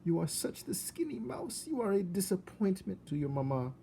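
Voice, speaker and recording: A fretful storybook mother mouse, as a man acts her out. She pleads and reproaches in a raised, lilting voice that moves freely in pitch, over slight noise. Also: sing-song, normal speed, vocal fry, mellow, heavily acted. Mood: pleading, reproachful.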